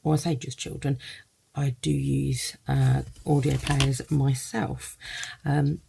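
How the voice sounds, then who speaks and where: A woman's voice: a bass voice, level tone, slow pace, vocal fry, dark tone, breathy edge. A woman giving a casual first-look product review, thinking aloud in loose, hesitant phrases.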